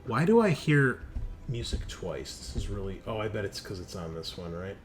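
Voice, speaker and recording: A man's voice: lowish voice, very animated, normal speed. A man running a tabletop game as game master, informal and sweary, thinking aloud as he goes. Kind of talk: casual tabletop game refereeing. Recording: voice over constant music. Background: music.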